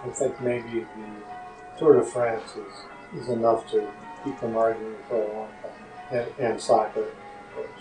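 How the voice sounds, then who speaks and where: An older man answers casual questions as a podcast guest. His phrases are halting and unhurried. The sound is echoey, with clear music playing behind him. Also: fairly low pitch, level tone. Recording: roomy echo, music behind.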